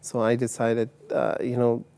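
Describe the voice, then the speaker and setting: Mellow-voiced man giving halting testimony. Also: medium pitch, monotone, creaky, clear, resonant tone. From Uganda, later England. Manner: halting, repeats words as he recounts. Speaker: testimony guest. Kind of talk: personal testimony in an interview.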